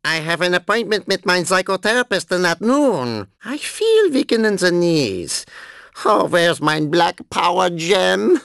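A man voicing a deranged, gleeful old German cyborg in a video game, with a thick German accent and a hammy, excitable, menacing manner. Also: high-pitched, unhurried, creaky, no room sound, clean studio sound, exaggerated voice. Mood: musing, whimsical. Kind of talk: video game character voice clips.

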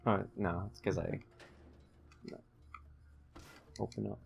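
Young man, low voice, gravelly fry, casual let's play commentary, low-key, slight background noise. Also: little pitch movement, slow pace. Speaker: gaming channel host playing the game. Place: room at home.

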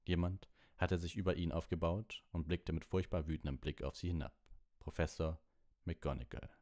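A man's voice: low voice, fairly even intonation, normal speed, a little mellow. A man narrating a storybook as an audiobook reader.

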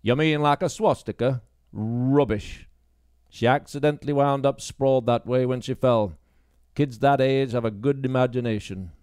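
A male narrator plays a wartime policeman in an audiobook mystery. He is brusque, matter-of-fact and quick to dismiss, in a dry, close recording. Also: mid-range voice, a little mellow, smooth, no echo, lightly acted. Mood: dismissive.

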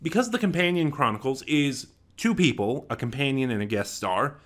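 Bright-voiced young man reviewing off the cuff. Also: mid-range voice, animated. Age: 20s. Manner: halting, thinking aloud, self-correcting. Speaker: audio drama reviewer. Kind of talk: unscripted review to camera. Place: small plain room at home, indoors. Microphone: desk microphone close in front.

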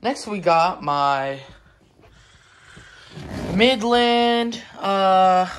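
A person talking informally and haltingly in a casual show-and-tell vlog, filmed on a handheld phone indoors at home. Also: medium pitch, expressive. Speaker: collector presenting weather radios. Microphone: handheld phone camera. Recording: noisy handheld recording with clicks.

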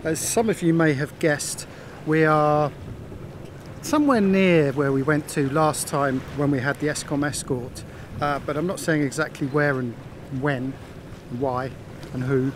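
A man's voice: on the high side, fairly even intonation, a little slow. A middle-aged man vlogging casually from the deck of a sailboat, rambling with fillers, filming himself with a handheld phone. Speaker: sailing vlogger. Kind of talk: casual vlog narration. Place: deck of a sailboat at sea, outdoors. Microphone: phone held at arm's length. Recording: wind and water noise outdoors. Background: wind, waves and boat noise.

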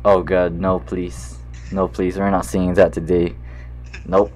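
A man's voice: sits low, flat delivery, unhurried, darkish tone, slight background noise. A man whose first language is Filipino, hosting a playful, bossy chat in an online virtual room. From half Filipino, half American. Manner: playful, bossy, laughs often. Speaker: host. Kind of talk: casual chat in a virtual world. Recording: background music.

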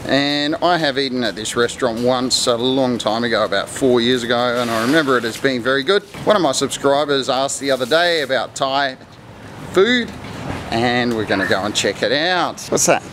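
A man's voice: mid-range voice, normal speed. A man in his forties giving casual travel vlog commentary in short descriptive remarks, recorded on a handheld camera. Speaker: food vlogger. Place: busy street and open-front eatery in Thailand. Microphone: handheld camera at arm's length. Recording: loud street and kitchen noise.

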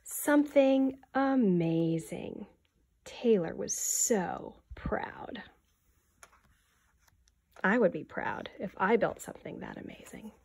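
A woman in her thirties, a teacher giving an expressive, deliberate read-aloud of a children's picture book. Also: medium pitch, creaky, husky, whispered.

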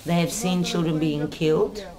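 A woman's voice: low voice, steady tone, moderate pace, low-quality recording. A woman volunteer speaking earnestly and seriously in an interview. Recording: outdoors with crowd noise.

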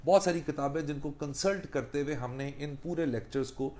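Higher-pitched Pakistani man, emphatic explainer. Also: a little fast, dark tone. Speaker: criminal lawyer and lecturer. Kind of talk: explanatory talk mixing Urdu and English. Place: small book-lined law office.

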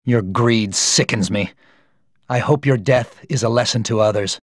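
A stern male ninja from a video game cutscene, played by a man. He speaks formally and solemnly at a middling pitch, with grim, measured honor. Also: no echo, close to an everyday voice. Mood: grim, threatening.

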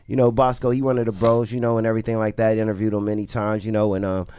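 A man's voice: fairly low pitch, little pitch movement, quick pace, dark tone, clean tone, no echo. A man in his thirties hosting a casual conversational interview in colloquial street slang, close on a studio microphone. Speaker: podcast host. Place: small soundproofed podcast studio. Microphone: close studio podcast microphone.

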